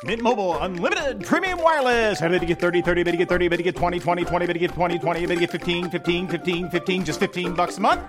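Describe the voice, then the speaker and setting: A man doing a rapid-fire auctioneer chant. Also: high-pitched, lightly acted. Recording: ad with music bed.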